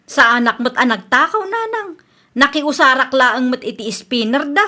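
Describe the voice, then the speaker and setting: A high, sharp-voiced young Ilocano housewife, acted by a woman, brash and evasive. She brushes people off defensively in a radio comedy drama. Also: animated, moderate pace. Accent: Ilocano Filipino. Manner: defensive, dismissive.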